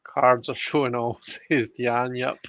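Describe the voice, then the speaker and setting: A man's voice: mid-range voice, level tone, slow pace, a bit bright. A man hosting a casual live stream, reading out viewer comments, in a dull recording with room echo. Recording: dull lo-fi sound, roomy echo.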